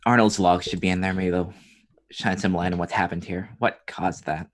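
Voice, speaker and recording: Low-voiced man roleplaying at a tabletop game. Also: steady tone, normal speed. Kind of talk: casual in-character roleplay.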